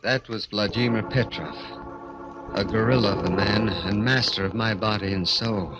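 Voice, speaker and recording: An actor as an anguished little acrobat, music behind. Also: male voice, fairly low pitch, natural voice. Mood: bitter, brooding. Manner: intense, halting, melodramatic. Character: bitter, anguished little-person vaudeville acrobat, condemned prisoner. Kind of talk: 1940s radio drama acting.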